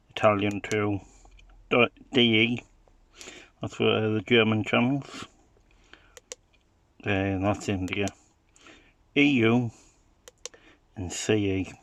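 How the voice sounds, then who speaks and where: A man's voice: on the low side, a bit bright. A man giving an informal, unscripted gadget demonstration in a hesitant, matter-of-fact way. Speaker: hobbyist product demonstrator.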